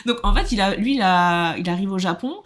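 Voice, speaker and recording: A French woman in her thirties, a podcast guest, telling her story in lively casual talk and acting out what others said. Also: mid-range voice, a little fast. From France. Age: 30s. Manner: animated, acts out quoted dialogue, laughs often.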